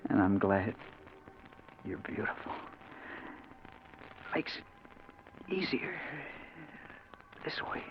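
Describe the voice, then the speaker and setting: An actor playing a desperate, haunted newspaperman in a 1940s radio drama, emotional and halting in his delivery. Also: fairly low pitch, big pitch swings, heavily acted. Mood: weak, resigned. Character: desperate shot newspaperman facing death, excitable, haunted. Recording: muffled, faintly noisy 1940s radio recording.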